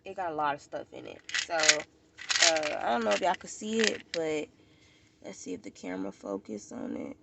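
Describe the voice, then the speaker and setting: A woman's voice: on the low side, animated, moderate pace, gravelly, heavy background noise. An American woman vlogger with a stuffy nose, chatting casually and informally to the camera, rambling and repeating herself. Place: outdoors at a park.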